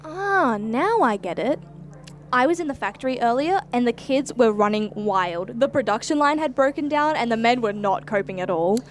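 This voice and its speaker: Radio play lead: curious, cheeky young Australian female actor, lively swooping delivery, some ambient noise behind. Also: fairly high pitch, moderate pace, close to an everyday voice. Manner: chatty, wide-eyed, informal. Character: Alex, curious, cheeky young nonbinary Australian time traveller. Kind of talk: radio play acting, breathless first-person narration.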